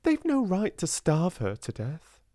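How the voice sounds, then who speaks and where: Guy doing a silly british schoolgirl, high voice, earnest and nosy, storybook style. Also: huge pitch swings, measured pace, dark tone, no room sound, clean studio sound, heavily acted. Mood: anxious, indignant. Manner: inquisitive, earnest. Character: silly, gossipy but kindly schoolgirl.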